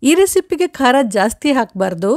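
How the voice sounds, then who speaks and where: Middle-aged woman, cooking host, friendly instructive delivery, animated pitch, dry lapel sound. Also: normal speed, no echo. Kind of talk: step-by-step recipe instructions. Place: home kitchen, indoors. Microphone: lapel mic.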